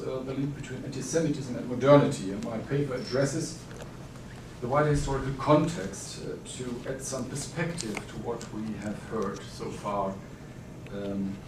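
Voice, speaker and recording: A man from Germany with a German accent, reading a formal conference paper in a measured way, with frequent "uh" pauses. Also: on the low side. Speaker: academic conference speaker. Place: conference hall. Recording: echoey hall, distant mic, noisy.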